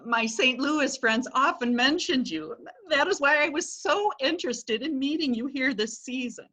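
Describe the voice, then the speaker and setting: A sharp-tongued society widow, read by an actress, quick to needle and doubt. Her voice is high and bright, lifting and dropping lively across each line. It is a readers theatre reading. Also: exaggerated voice. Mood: needling. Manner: teasing, pointed. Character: sharp-tongued wealthy widow, teasing and skeptical. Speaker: actor.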